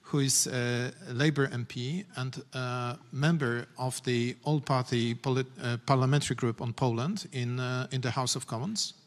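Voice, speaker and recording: Male moderator, mid-pitched, halting formal intros, long hall reverb. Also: steady tone. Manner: hesitant, many "uh" fillers.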